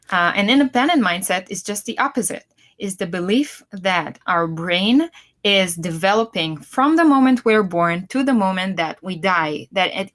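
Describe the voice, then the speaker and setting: A woman's voice: medium pitch, a bit bright. Hebrew-accented woman co-hosting a chat. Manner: thoughtful, many fillers and restarts. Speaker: podcast co-host. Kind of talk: casual chat.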